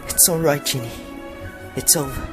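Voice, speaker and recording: An actor playing a brave, weary, earnest teenage boy wizard in a hushed manner in an audio drama. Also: mid-range voice, normal speed, over music, natural voice. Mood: weary, reassuring.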